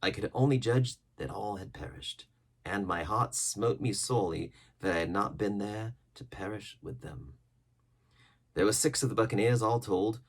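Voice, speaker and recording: A man reading an adventure story as a narrator, dramatic and expressive, with deliberate phrasing. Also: on the low side, husky. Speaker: audiobook narrator.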